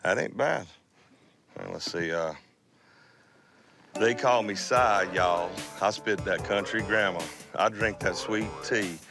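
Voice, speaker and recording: A man's voice: lowish voice, slow pace, creaky, pauses often, over music. A middle-aged man, laid-back and easygoing in a recording studio. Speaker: studio owner. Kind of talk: casual chat.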